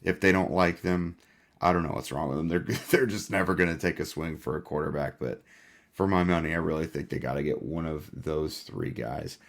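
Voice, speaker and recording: A man hosting a casual sports podcast, hoarse and losing his voice, with a laid-back delivery full of "uh" fillers. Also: a bass voice, normal speed. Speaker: podcast host.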